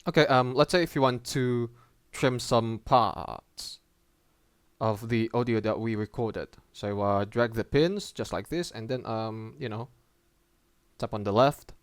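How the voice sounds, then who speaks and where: A man presenting a casual app tutorial as a voice-over, with halting, informal and hesitant phrasing. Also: medium pitch, smooth, no room sound.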